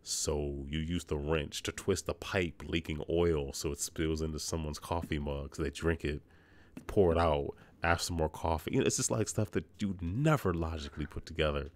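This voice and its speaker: Very deep-voiced man chatting about games. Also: normal speed. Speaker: video game podcast host. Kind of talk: casual rambling game review chat.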